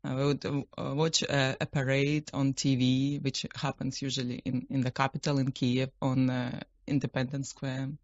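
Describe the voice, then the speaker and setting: A woman with a Ukrainian accent speaking in halting, simple English as an interview guest. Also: rumbling low, little pitch movement, no room sound, highs cut off. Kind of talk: hesitant conversational interview. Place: radio studio.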